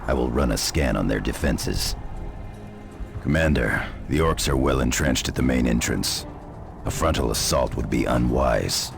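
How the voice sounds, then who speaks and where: Very deep gravelly scout. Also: male voice, a little slow, over music, heavily acted. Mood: cautious, grim. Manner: terse, restrained. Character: cautious, grim male veteran scout soldier.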